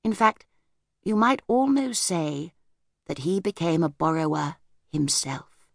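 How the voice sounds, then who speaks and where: A woman voicing a reserved, wistful older lady in an audiobook, speaking gently and hesitantly as she looks back. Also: low voice, expressive, a little slow, creaky, no room sound, studio quality, heavily acted. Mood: reflective. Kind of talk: audiobook character voice.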